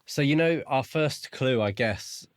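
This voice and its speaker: A man co-hosting a podcast, casually picking through a book in a measured, analytical way. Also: medium pitch, expressive, no room sound, clean studio sound. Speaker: podcast co-host.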